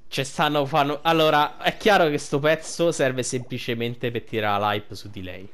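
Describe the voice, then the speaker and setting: A man's voice: medium pitch, steady tone, normal speed, fairly bright tone, a little hiss or hum. A man giving casual, informal playthrough commentary as a gaming streamer, thinking aloud.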